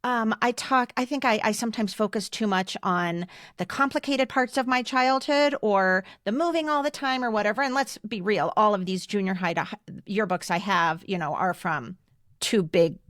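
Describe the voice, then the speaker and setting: A woman's voice: medium pitch, moderate pace, a bit bright, dry recording, studio quality. A woman in her fifties talking casually as a podcast co-host. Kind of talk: casual conversational podcast talk.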